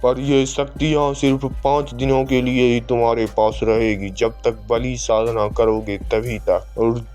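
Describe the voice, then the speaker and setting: A scheming sorcerer, played by a man, lays out his ritual in a slow, solemn, mellow voice for a horror cartoon. Soft music runs faintly behind him. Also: medium pitch, flat delivery, natural voice. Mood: bargaining. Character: calculating male tantric sorcerer, twin brother.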